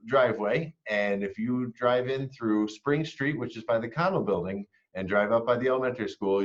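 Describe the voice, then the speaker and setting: A man giving an expressive, emphatic children's read-aloud, as school staff would, with some words drawn out. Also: on the low side, highs cut off. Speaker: elementary school staff member reading aloud.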